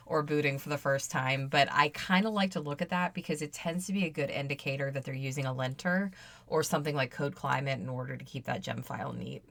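A woman speaking as the host of a podcast, in a conversational and clear-worded way. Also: very deep voice, fast, a bit bright, no echo. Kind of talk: conversational interview with sponsor read.

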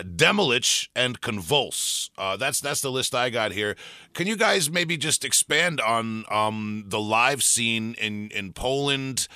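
A man from the United States hosts a casual interview in a loose, friendly way, with a bright, sharp voice. The recording is dry and close. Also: mid-range voice, no room sound. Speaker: podcast host and vocalist.

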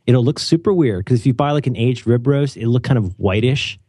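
A man hosting a casual chat, animated and opinionated, joking often and restarting his sentences. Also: medium pitch, brisk, breathy edge, dry recording, studio quality. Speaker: podcast host.

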